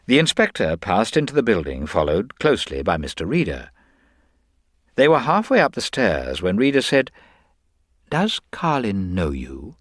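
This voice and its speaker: Low-pitched, expressive male story narrator. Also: moderate pace, no room sound. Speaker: audiobook narrator.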